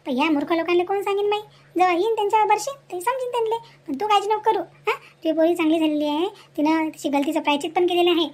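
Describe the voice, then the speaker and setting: Woman acting motherly cartoon village neighbour, very high voice, Varhadi Marathi accent, folksy, emphatic, moralising. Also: fast talker, darkish tone. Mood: indignant, consoling. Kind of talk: amateur cartoon dialogue.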